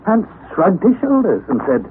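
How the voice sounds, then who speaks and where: A young man narrating his adventure in first person for a 1960s radio drama, measured and careful. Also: sits high, wildly swooping pitch. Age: young adult. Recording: tinny old radio broadcast with hiss.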